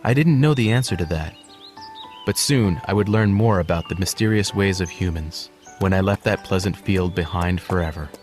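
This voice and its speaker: Guy as a gentle horse telling his life story, low measured voice, music under it. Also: natural voice. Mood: reflective. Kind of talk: scripted storybook narration.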